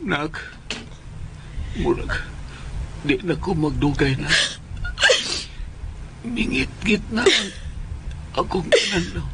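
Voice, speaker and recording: Creaky, slow, ailing old father. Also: on the high side, expressive, a bit bright, exaggerated voice. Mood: dying, wistful. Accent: Bisaya. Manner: faltering, weary. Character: ailing, weak old father, fretful and melodramatic. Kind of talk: comic Bisaya radio drama acting. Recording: heavy background noise.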